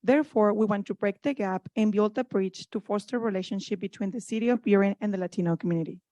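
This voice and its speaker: Mexican-accented woman with a steady, prepared delivery. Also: medium pitch, fast, dry recording. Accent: Mexican Spanish. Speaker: child care owner and community connector.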